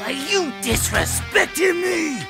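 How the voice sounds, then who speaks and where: Slow, gravelly, cackling game monster spoiling for a fight. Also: male voice, very high voice, expressive, full character voice. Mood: aggressive. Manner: cackling, aggressive. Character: hot-headed brawling male monster warrior, cackling. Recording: game cutscene with loud music.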